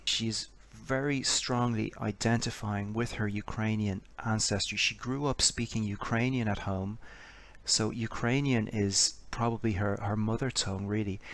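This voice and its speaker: A man giving scripted political commentary in a measured, explanatory way, posing a string of rhetorical questions. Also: fairly low pitch, fairly bright tone.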